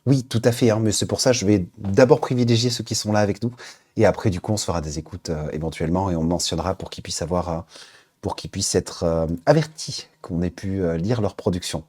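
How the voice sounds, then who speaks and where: A man in his own casual live stream, with a low, husky voice that sits dark and mellow. He talks to his chat loosely, reading their names aloud and stumbling through many hesitations as he lines up their tracks. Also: quick pace. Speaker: Twitch stream host.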